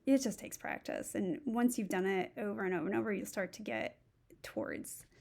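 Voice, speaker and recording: Gravelly-voiced woman teacher thinking aloud. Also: medium pitch, very animated, normal speed, darkish tone. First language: English. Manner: thinks aloud, walks through steps. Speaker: Spanish instructor. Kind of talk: recorded tutorial lesson.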